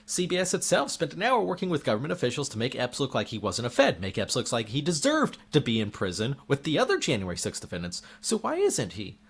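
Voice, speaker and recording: A man's voice: medium pitch, huge pitch swings, fast talker, vocal fry, hardly any pauses, breathy edge. A man delivering an indignant opinion monologue as a political commentator, firing off rhetorical questions while losing his voice.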